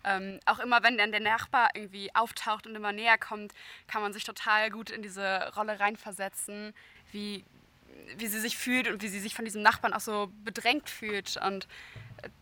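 A woman's voice: medium pitch, little pitch movement, normal speed, pushed and bright. A young woman, a soprano from Berlin, answering eagerly in an interview. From Berlin, Germany. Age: young adult. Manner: eager, repeats words like "total". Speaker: soprano singer.

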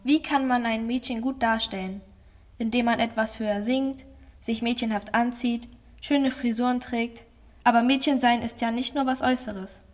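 Interviewerin mit etwas hoher Stimme. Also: female voice, fairly high pitch, steady tone, normal speed, faint music behind. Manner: clear, scripted questions. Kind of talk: podcast interview.

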